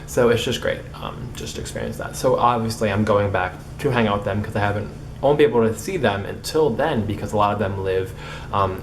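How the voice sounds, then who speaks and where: A man's voice: lowish voice, slight background noise. A young man who stutters, with repeated starts and blocks, chatting casually to camera in a vlog. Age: young adult. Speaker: vlogger.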